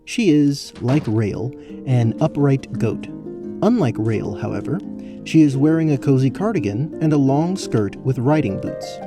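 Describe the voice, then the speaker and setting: A man's voice: medium pitch, lively intonation, a little slow, over music. A man giving scripted narration for a fantasy tabletop-style audio story. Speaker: narrator, game master.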